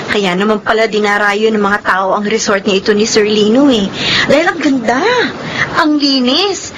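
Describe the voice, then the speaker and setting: A woman's voice: medium pitch, normal speed, lightly acted. A woman playing an honest, grateful, sensible working wife in a radio drama, speaking earnestly. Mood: appreciative, earnest. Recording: noticeable background noise.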